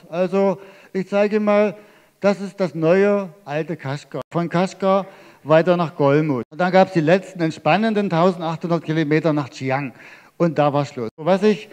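A man's voice: sits high, measured pace, a little mellow. A middle-aged man from Germany giving a chatty, explanatory travel slideshow talk to a live audience, speaking into a handheld microphone in a large theatre hall. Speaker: travel lecturer presenting his cycling slideshow. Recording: reverberant hall.

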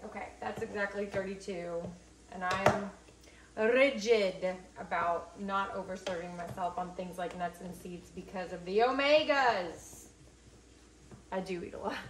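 A young woman talks her way through making lunch. She talks slowly and chattily, with wry little jabs at herself. The voice bounces off a hard kitchen, and pans clatter faintly behind her. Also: medium pitch. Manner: chatty, self-mocking asides while cooking. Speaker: vlogger. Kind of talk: casual cooking vlog chat. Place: home kitchen, indoors. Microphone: camera mic from a few feet away. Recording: echoey kitchen, faint cooking noise.

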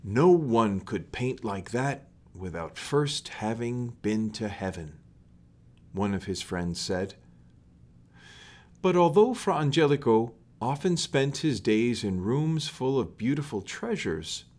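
A middle-aged man reads a children's story aloud in a measured, deliberate way, recorded indoors in a small room. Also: medium pitch, big pitch swings, darkish tone.

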